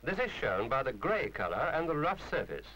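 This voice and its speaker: A man's voice: medium pitch, big pitch swings, normal speed, bright, forceful tone, slight background noise, poor audio. A man reading a scripted instructional film narration in a formal, precise, didactic manner.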